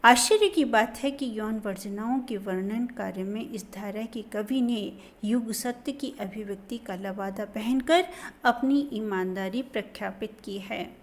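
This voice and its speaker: A woman lecturer reading a literature lesson aloud in a slow, deliberate formal manner, her voice dropping softly at the end of each sentence. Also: medium pitch. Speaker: college Hindi lecturer.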